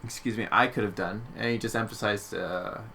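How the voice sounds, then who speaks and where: Bright-voiced man in casual conversation. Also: on the low side, steady tone, a little fast, vocal fry, a little hiss or hum. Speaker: podcast co-host. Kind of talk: casual chat.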